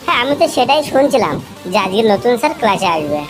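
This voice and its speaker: A woman's voice: fairly high pitch, big pitch swings, fast talker, over music. A woman voicing an earnest young animal pupil in an amateur cartoon comedy. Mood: eager, nervous.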